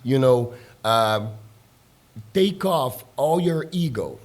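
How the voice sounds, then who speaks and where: A man's voice: medium pitch, slow pace. Brazilian man, easygoing English teacher. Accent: Brazilian Portuguese. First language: Portuguese. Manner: relaxed, persuasive, peppered with "you know". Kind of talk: casual studio interview. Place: radio studio.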